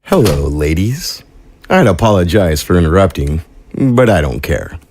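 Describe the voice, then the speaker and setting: An actor playing a gruff, contemptuous henchman in an audio drama, curt and deadpan. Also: low-pitched, a little slow, full character voice. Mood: mocking.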